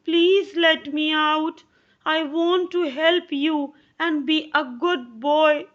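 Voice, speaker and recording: A woman's voice: high-pitched, fairly even intonation, slow pace, dull audio, pitched above the performer's own voice. A woman voicing a stubborn young boy, reading his lines in a raised, pleading voice. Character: stubborn young boy, rude then sorry.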